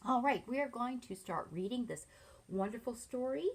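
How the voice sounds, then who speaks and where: A middle-aged woman, a teacher reading a picture book aloud to children, expressively and with pauses to ask them leading questions. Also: on the high side, expressive, husky. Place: small furnished room at home, indoors.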